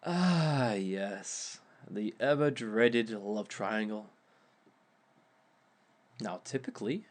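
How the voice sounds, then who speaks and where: A man playing a smug male villain who gives romance advice in a wry, mock-sympathetic way and chuckles often at his own words. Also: medium pitch. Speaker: villain relationship expert. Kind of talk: audio roleplay monologue.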